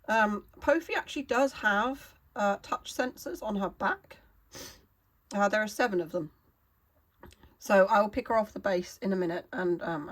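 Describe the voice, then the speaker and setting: Hoarse, wheezy woman vlogger with a cold; halting, apologetic, chatty explainer. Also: mid-range voice. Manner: halting, apologetic, many fillers and restarts. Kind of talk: casual product explainer.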